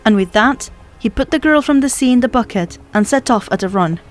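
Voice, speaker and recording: A woman's voice: fairly high pitch, animated, brisk. A woman reading a children's story aloud as scripted narration, as an audiobook narrator does. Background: music.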